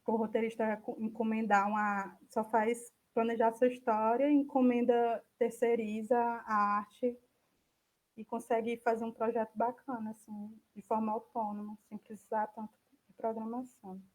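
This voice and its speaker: A Brazilian woman giving an informal online software demo, thinking aloud hesitantly as she presents and answers questions. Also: fairly high pitch, flat delivery, moderate pace, poor audio. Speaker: speaker giving an online talk.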